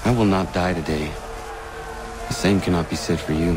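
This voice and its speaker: An actor playing an earnest young freedom fighter in a video game, speaking formally and with resolve. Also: male voice, low-pitched, steady tone, moderate pace, background noise, close to an everyday voice. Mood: determined. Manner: earnest, formal, uncontracted wording. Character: solemn, defiant young male freedom fighter. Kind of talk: video game cutscene acting. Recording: game music behind the voice. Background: music.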